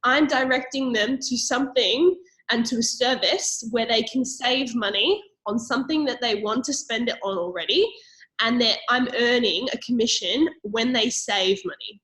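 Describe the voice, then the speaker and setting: Young Australian woman, slightly high voice, chatty persuasive presenter pitching to a call audience. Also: moderate pace, fairly bright tone, rough recording. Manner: upbeat, persuasive, with frequent fillers and little laughs. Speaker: network marketing presenter.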